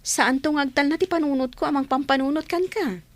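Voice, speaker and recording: An earnest young bride-to-be played by a woman in a radio drama. She speaks in a fairly high voice with an Ilocano accent, as a devoted older sister. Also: fairly quick, close to an everyday voice. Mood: tender, pleading.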